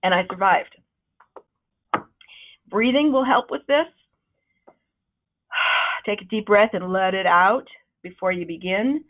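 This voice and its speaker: A woman's voice: mid-range voice, slow pace, long pauses. Woman on tinny webinar audio. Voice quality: loud, projecting voice. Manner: conversational, encouraging, with frequent ums. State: voice starting to wear out. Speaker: presentation trainer. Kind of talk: webinar talk. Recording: narrow, phone-like webinar audio.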